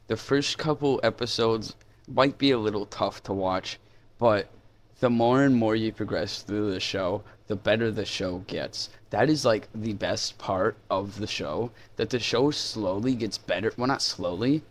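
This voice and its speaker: A man's voice: lowish voice, a little slow. A man hosting a casual, unscripted video review in an informal, enthusiastic, rambling way. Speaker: YouTube reviewer, host.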